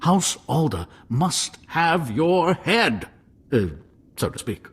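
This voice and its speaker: Pompous game nobleman, formal and halting, husky, voice swings up and down a lot. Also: a little high, sing-song, exaggerated voice. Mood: solemn, pleading. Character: pompous, apologetic nobleman pleading for an artifact. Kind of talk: video game cutscene acting.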